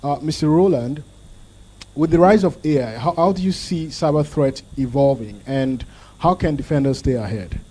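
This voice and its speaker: A young man moderating a conference panel, polite and slow with fumbling phrasing, into a handheld mic. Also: on the high side, warm tone, low-quality recording. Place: conference hall on stage.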